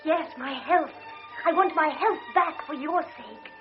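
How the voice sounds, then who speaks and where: A fragile young girl crippled by illness, her high voice breathless and intense with devotion. It is heard as an old, muffled radio play with music underneath. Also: a little slow. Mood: devoted. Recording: dull lo-fi radio broadcast with music.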